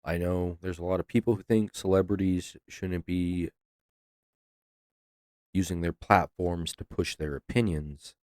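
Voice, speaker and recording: A man's voice: sits low, steady tone, a little slow, darkish tone, no room sound, clean studio sound. A man hosting a reaction video, talking casually with loose swearing and laughs. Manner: laid-back, swears freely, laughs.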